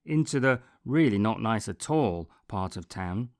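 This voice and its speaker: Man reading a children's storybook, slow and expressive. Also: on the low side. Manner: deliberate, dramatic phrasing with pauses, repetition and emphasis. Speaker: storybook reader.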